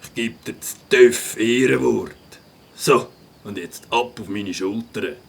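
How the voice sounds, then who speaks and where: A man playing a rowdy, bossy grown-up biker animal in a Swiss German children's audio drama, with a raspy voice and slangy talk. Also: lowish voice, takes it slowly, slight background noise. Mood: coaxing. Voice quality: raspy, gravelly.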